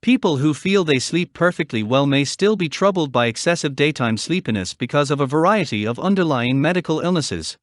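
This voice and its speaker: A man reading a scripted passage aloud with a measured, formal delivery. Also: fairly high pitch, expressive, dry recording, clean studio sound. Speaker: read-aloud model reader.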